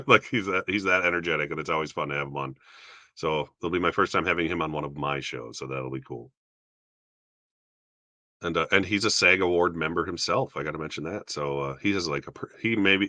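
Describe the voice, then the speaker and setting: A young man with a deep voice casually rambling about awards results, self-deprecating and chuckling now and then. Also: brisk, bright, forceful tone, dry recording. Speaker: YouTube film awards commentator. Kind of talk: casual solo commentary.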